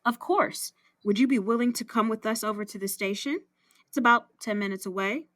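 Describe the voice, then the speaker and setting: A woman's voice: a little high, brisk, fairly bright tone, dry recording, studio quality, close to an everyday voice. An actress playing a firm, courteous female police detective in an audio drama. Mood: polite, insistent.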